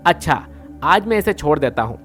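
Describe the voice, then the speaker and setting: A man's voice: on the high side, big pitch swings, moderate pace, fairly bright tone, soft background music. A man playing a greedy village thief in a devotional story, brash, colloquial and grumbling. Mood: bargaining.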